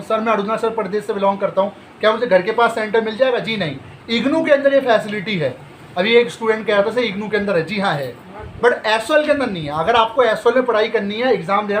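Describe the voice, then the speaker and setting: Man, high voice, education channel host, direct and reassuring, faint hiss behind. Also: rough recording. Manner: direct, reassuring, rhetorical questions. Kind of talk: explainer talk to students.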